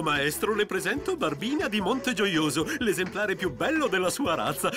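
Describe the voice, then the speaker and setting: A man voicing a fussy, excitable show director in a cartoon dub, with a melodramatic, exclamatory delivery. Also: high-pitched.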